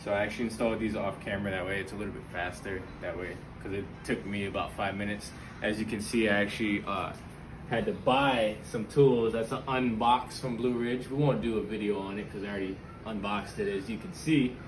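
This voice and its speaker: Casual male vlogger over clear background noise. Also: lowish voice, steady tone, fairly quick. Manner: informal, slangy, addresses viewers directly. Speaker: YouTube vlogger and channel host. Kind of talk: casual hands-on tech tutorial with personal storytelling.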